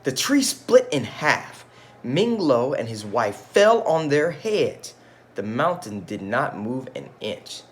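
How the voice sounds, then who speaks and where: A man's voice: medium pitch, very animated, takes it slowly. A man reading a picture book aloud as a teacher, with casual asides to young listeners.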